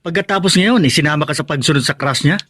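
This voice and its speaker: A man's voice: on the high side, fast, a bit bright. A man playing a young, protective and suspicious older brother in a Filipino radio drama, blunt and scolding. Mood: stern, suspicious.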